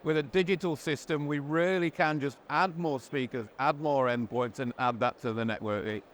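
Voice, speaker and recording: Higher-voiced man presenting, measured and explanatory. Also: fairly even intonation. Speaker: presenter and interviewer. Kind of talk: product explainer interview. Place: trade show floor. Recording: faint background noise. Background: faint ambient noise.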